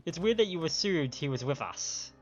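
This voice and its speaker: A man's voice: fairly high pitch, expressive, moderate pace. Chatty male streamer, slightly dull audio. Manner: joking, chatty. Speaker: live streamer, host. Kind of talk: casual game playthrough commentary. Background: faint game music.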